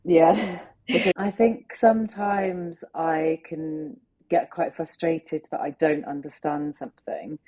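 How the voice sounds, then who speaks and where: Low, gravelly-voiced woman. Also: animated, slow pace, a crowd in the background. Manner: earnest, thoughtful, speaks in flowing run-on sentences. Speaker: interview guest, facilitator. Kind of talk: reflective conversational interview answers.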